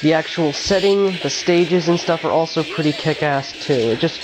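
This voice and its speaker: A man's voice: a little high, moderate pace, over music, poor audio. A young man with a congested, stuffy voice from a cold, reading a casual, slangy, enthusiastic video game review. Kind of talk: scripted game review voice-over.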